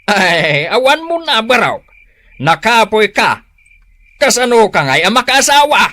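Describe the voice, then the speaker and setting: A man's voice: sits high, very animated, measured pace, pushed and bright, heavily acted. An actor playing a scolding father in an Ilocano radio drama, speaking with an Ilocano accent. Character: scolding father of a grown son.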